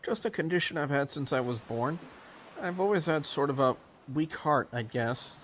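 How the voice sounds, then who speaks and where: A man's voice: fairly high pitch, animated, normal speed, fairly bright tone, a little hiss or hum. A man voicing a proud, easily flustered young woman in a visual novel, defensive and huffy. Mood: guarded.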